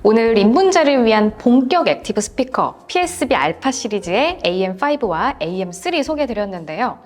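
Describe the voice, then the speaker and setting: Lively, higher-pitched woman host. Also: brisk, faint music behind. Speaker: show host. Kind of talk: product review presenting, polite and conversational.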